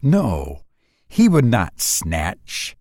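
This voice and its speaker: A man narrating a literary story in a measured, dramatic manner, with deliberate pauses. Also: lowish voice, wildly swooping pitch, a little mellow, no room sound, clean studio sound. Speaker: audiobook narrator.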